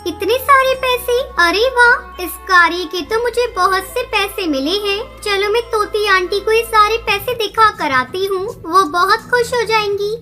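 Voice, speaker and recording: A woman's voice: extremely high pitch, a little slow, few pauses, bright, forceful tone, soft background music, exaggerated voice. A woman voicing a young orphaned girl bird in a cartoon, with a childlike, earnest manner. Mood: delighted. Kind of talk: Hindi cartoon dialogue.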